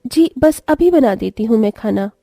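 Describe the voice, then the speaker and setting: A woman playing a gentle, dutiful village mother and daughter-in-law who advises patiently in a story. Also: a little high, expressive, normal speed, vocal fry, no room sound, clean studio sound, soft background music. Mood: eager.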